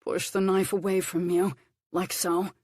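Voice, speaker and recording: A woman voicing a reserved aristocratic man who speaks softly and breathily in a storybook reading. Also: high voice, little pitch movement, measured pace, dry recording, studio quality. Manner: soft and breathy.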